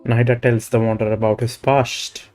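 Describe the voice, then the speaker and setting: Indian guy streaming a game, hindi speaker, casual commentary, medium pitch, music in the back. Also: flat delivery, a little fast, darkish tone.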